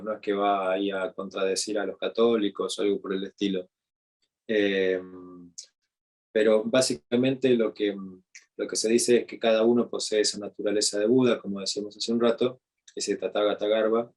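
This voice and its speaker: Slow, halting Argentine man teaching. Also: fairly low pitch, darkish tone. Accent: Argentine Spanish. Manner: slow, halting, with frequent fillers and restarts. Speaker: meditation teacher. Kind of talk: unscripted teaching talk.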